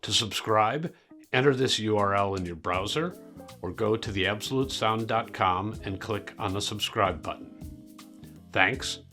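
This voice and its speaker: A man delivering a scripted subscription pitch as a magazine executive. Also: a little slow, pushed and bright. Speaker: magazine executive making a promo. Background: music.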